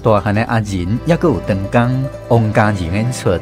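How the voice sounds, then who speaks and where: A man's voice: lowish voice, normal speed. A man with a Taiwanese accent reading scripted announcements as the host of a radio programme. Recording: music bed behind the voice. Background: instrumental music.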